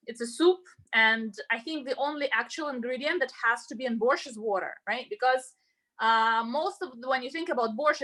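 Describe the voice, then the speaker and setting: Home cook, woman with Russian accent, fairly high-pitched and bright, chatty and explanatory, casual demo delivery. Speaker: home cook leading an online cooking class. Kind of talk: casual cooking demonstration. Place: indoors at home, cooking. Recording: roomy, echoey home sound.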